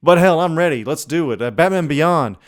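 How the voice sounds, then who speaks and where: A man's voice: a little high, lively intonation, fairly quick. A man co-hosting a podcast, bantering casually in slangy, opinionated talk with some swearing. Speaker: podcast co-host.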